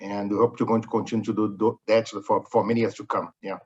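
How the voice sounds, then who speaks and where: A man's voice: lowish voice, level tone, fast, a little mellow, husky, muffled recording. A middle-aged man from Brazil with a Brazilian Portuguese accent, answering interview questions over a video call in friendly, slightly broken English. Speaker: company executive, guest.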